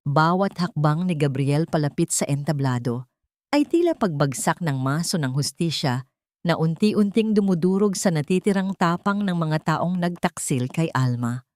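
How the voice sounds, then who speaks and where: Low-voiced Filipino woman narrating drama. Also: lively intonation, a little mellow, no echo, clean studio sound. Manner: measured, formal, dramatic delivery. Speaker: story narrator. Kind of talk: scripted dramatic story narration.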